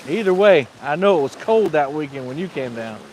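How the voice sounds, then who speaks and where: A man's voice: a little high, animated, fairly quick, darkish tone, poor audio. A man, chatting easily outdoors on a windy lake bank and reading out viewer comments. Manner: easygoing, reads out viewer comments. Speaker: guest reading chat. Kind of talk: casual livestream chat.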